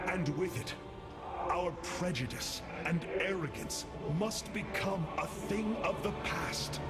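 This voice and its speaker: An actor playing a noble, resolute alien warrior leader in a video game, speaking in solemn, commanding and measured oratory. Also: male voice, sits high, heavily acted. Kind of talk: dramatic video game acting. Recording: loud music behind the voice.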